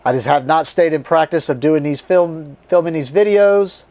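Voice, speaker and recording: A man hosting a casual card game unboxing, his voice strained and tiring as he reads card names aloud in short halting bursts. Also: a little high, darkish tone. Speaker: board game channel host.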